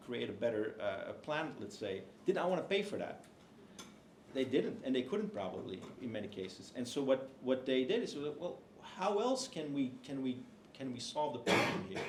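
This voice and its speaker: Male panelist, mid pitch, hesitant with fillers, roomy hall sound, faint noise. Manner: hesitant, many fillers and restarts. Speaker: book co-author on a panel. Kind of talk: panel discussion.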